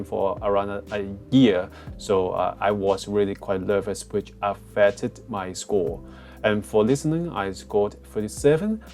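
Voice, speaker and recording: A man's voice: lowish voice, a little mellow. A man with a Hong Kong English accent explaining test preparation in a casual walkthrough, with many fillers and restarts. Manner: frequent fillers and restarts, plain phrasing. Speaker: test prep tutor. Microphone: cheap headset.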